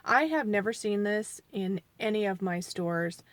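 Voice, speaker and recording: A woman in her forties talks through a makeup review in a chatty, self-deprecating ramble, her voice sitting in an ordinary middle pitch. She is a little sniffly from allergies. The sound is dry and close, with no room echo. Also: expressive, measured pace. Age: 40s. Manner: quieter and mumbling while applying makeup. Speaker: beauty vlogger.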